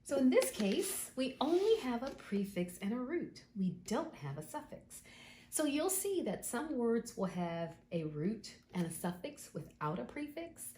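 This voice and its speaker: A woman teacher explaining word roots slowly and patiently, thinking aloud and repeating the words she explains. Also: a little high, a little mellow, reverberant, slight background noise. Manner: slow, patient, drawn-out explaining.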